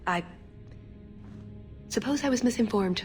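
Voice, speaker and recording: A woman's voice: a little high, animated, a little slow, soft background music. A woman playing an earnest, idealistic young female elf agent in a fantasy video game, sincere and hesitant. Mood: regretful, earnest. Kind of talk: video game cutscene acting.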